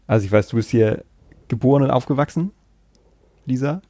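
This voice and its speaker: A man's voice: mid-range voice, fairly quick, mellow, no room sound. A man from Kiel in northern Germany chatting casually as the host of a conversation. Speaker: podcast host. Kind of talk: casual chat.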